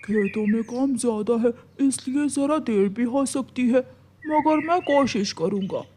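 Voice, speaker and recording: A man's voice: extremely high pitch, unhurried, mellow, slight background noise. A man voicing a hardworking, worried bird farmer in a cartoon, earnest and plain-spoken. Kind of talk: cartoon voice acting.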